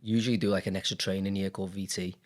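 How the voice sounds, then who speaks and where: A man's voice: sits low, little pitch movement, very fast. A man in his thirties with a Liverpool accent, a dentist talking candidly and rambling a little in a casual interview. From Liverpool, England. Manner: candid, rambling, many fillers. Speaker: dentist and business owner.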